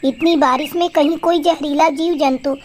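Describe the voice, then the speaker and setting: A woman's voice: sits high, steady tone, moderate pace, darkish tone, lightly acted. A woman voicing a worried, protective mother sparrow in a children's moral-story cartoon. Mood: watchful, worried. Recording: clearly noisy background.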